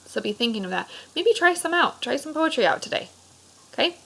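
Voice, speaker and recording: A woman's voice: high voice, big pitch swings, fairly quick, creaky, a bit bright, rough recording. A woman speaking as an elementary teacher, leading a discussion for children in simple, encouraging words with frequent questions and check-ins. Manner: simple explanatory phrasing aimed at children. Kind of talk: read-aloud discussion lesson for children.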